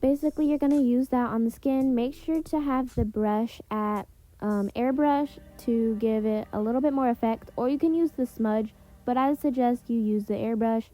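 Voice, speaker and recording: A woman narrates a casual tutorial in a fairly high voice with a soft, mellow tone. She talks informally and directly to viewers, walking them through each step. Light music plays behind her. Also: normal speed. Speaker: tutorial host, content creator. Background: background music.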